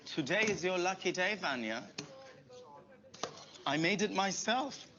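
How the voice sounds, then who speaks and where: A woman's voice: lowish voice, animated, a little slow, a crowd in the background. An actress playing a friendly young woman who welcomes a friend with homemade sweets in a learning skit. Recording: muffled lo-fi video audio.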